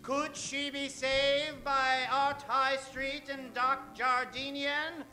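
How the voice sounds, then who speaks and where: A man's voice: extremely high pitch, level tone, slow pace, bright, forceful tone, faint music behind, heavily acted. A man playing a suspenseful, theatrical announcer narrating an old-time radio serial. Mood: dramatic.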